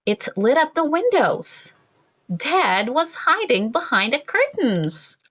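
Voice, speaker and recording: Animated high-voiced woman reading a storybook. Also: slow pace, muffled recording. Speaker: storybook reader. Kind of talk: scripted children's story read-aloud.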